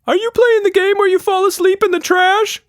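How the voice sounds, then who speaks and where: Squeaky, childlike little monster. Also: male voice, steady tone, a little fast, no room sound, clean studio sound. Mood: earnest, curious. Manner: playful, earnest. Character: small pink silly magical monster, childlike and naive.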